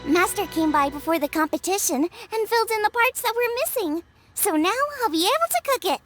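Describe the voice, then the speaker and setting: A cheerful young girl cook from a video game, pitched very high and swinging widely as she talks. She is earnest and reassuring, and music plays behind her. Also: expressive, fairly quick, a bit bright. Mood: upbeat, friendly. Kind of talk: animated game voice acting.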